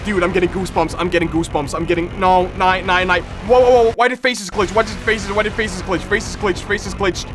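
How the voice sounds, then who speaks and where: A man's voice: high-pitched, fairly even intonation, a little fast, few pauses, over music. A man live-streaming his reaction to horror videos, reading text aloud and commenting dramatically, often repeating his phrases.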